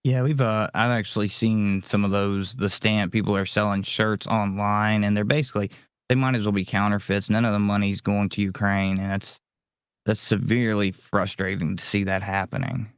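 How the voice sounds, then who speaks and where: A man's voice: lowish voice, fairly even intonation, moderate pace, smooth, no room sound, clean studio sound. A man hosting a casual live-streamed discussion, chatting with the panel and reading out questions. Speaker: panel host.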